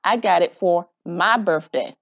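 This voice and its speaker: Woman acting a stubborn, excitable little schoolgirl. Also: fairly low pitch, a little slow, gravelly, dry recording, dull audio. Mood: defiant. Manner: emphatic, childlike exclamations.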